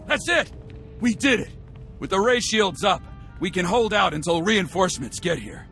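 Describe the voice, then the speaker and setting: An actor playing a brisk army lieutenant in a video game, with a clipped military delivery. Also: male voice, high-pitched, big pitch swings, gravelly, sharp tone, exaggerated voice. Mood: shouting, urgent. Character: brisk, urgent army lieutenant holding a besieged base. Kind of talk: video game cutscene acting. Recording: game music under the voice.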